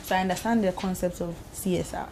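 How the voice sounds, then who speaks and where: A woman explains her work as a talk-show guest. Her voice is warm and mellow, easygoing, and often says "like". Faint hiss sits behind her. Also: fairly low pitch, steady tone, fast talker, low-quality recording. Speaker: mixologist guest. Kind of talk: casual interview talk. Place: TV studio.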